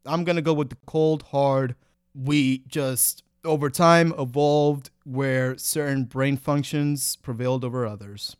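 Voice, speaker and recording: A man's voice: medium pitch, fairly even intonation, a little slow, clean tone, dry recording, studio quality. A man hosting a comedy podcast in casual, mock-earnest banter. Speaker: podcast host.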